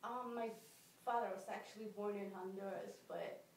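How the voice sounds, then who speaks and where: A woman's voice: medium pitch, steady tone, normal speed, a little hiss or hum. A woman from Costa Rica answering interview questions, hesitant and full of ums. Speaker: job applicant. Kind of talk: mock job interview. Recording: echoey room from far off.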